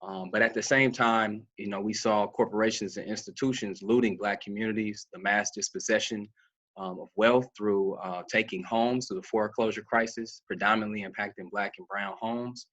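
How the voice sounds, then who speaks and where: A man's voice: fairly low pitch, steady tone, normal speed, fairly bright tone, dull audio. A man, a graduate student, giving a prepared academic talk as a panelist.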